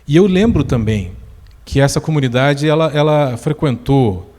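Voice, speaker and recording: A man with a Brazilian accent moderating a stage conversation, haltingly working his way toward his questions. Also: on the high side, expressive, darkish tone. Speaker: interviewer, moderator. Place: indoor cultural venue, stage talk. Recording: reverberant hall.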